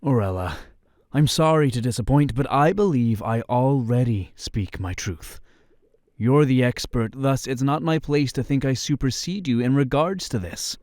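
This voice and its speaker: A man's voice: mid-range voice, normal speed, a little mellow, no echo, lightly acted. A man playing an earnest, self-doubting young crusader who speaks formally and deferentially in a medieval fantasy audio drama. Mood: respectful.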